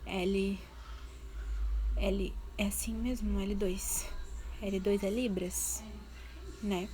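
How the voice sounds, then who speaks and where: A woman's voice: medium pitch, flat delivery, drawn-out words, mellow, slight background noise. A woman with a Brazilian Portuguese accent, talking straight to her viewers in a casual vlog and pressing her points with repeated questions. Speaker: vlogger voicing her deaf partner's signing.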